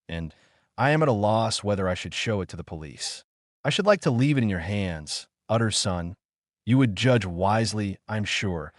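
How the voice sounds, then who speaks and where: A man voicing a sick, anxious gentleman doctor in an audiobook, fervent, solemn and formal. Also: on the low side, no echo, clean studio sound. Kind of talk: dramatic audiobook character reading.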